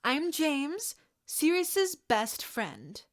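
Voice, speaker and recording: A woman's voice: sits high, big pitch swings, drawn-out words, voice pushed up, exaggerated voice. A woman reading a confident, cheerful teenage boy with eager delivery. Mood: eager, upbeat.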